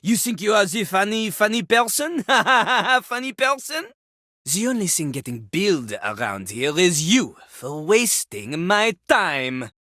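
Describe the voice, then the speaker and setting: Boastful toilet rapper, video game character, bright forceful voice, hugely animated pitch, easily outraged, mocking. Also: female voice, a little slow, no room sound, studio quality. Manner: brash, mocking, grandiose, speaks of self in third person.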